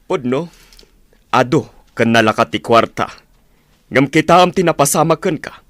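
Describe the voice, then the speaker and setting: A man's voice: mid-range voice, lively intonation, moderate pace, fairly bright tone, no room sound, studio quality, lightly acted. Man cast as radio drama cop, Filipino accent, mid-range voice, reproachful authority. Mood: stern, reproachful. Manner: firm, reproachful. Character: stern, lecturing male police officer.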